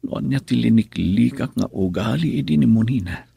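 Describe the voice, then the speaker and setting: Husky, gravelly Ilocano man, brooding. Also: medium pitch, lively intonation, normal speed, mellow, dry recording, full character voice. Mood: brooding, wistful. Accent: Filipino, Ilocano. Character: brooding, regretful husband who drinks, troubled by his wife. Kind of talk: Ilocano radio drama acting with inner monologue.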